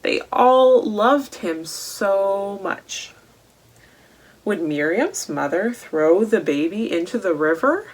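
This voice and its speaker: A woman reading a Bible story aloud to children in a deliberate way, posing questions to young listeners as she goes. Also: mid-range voice, lively intonation, poor audio. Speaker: children's storytime reader at a church.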